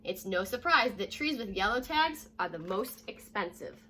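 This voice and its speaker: A young American woman reading a children's story aloud like a teacher, addressing young listeners directly in a lively way. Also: medium pitch, moderate pace, slight background noise. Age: young adult. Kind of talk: children's read-aloud with short asides. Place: living room at home, indoors.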